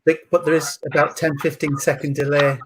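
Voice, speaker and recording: A man's voice: fairly high pitch, little pitch movement, fairly quick, fairly bright tone, slightly rough, low-quality recording. A man co-hosting an online service, passing on short answers from viewers. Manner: brief, matter-of-fact relays. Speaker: co-host relaying replies from viewers.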